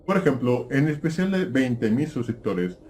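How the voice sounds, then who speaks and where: A man's voice: mid-range voice, big pitch swings, normal speed, darkish tone, faint music behind, lightly acted. A man playing a nostalgia-obsessed cartoon-review YouTuber, presenting scripted mystery commentary straight to his viewers. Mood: chatty, welcoming. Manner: presenter-like, addressing viewers directly.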